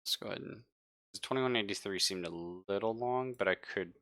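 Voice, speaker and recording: A man's voice: fairly low pitch, normal speed, gravelly, fairly bright tone, dry recording, clean studio sound. A man acting as game master, narrating and chatting casually in an easygoing, accommodating way. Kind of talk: casual tabletop game narration and table chat.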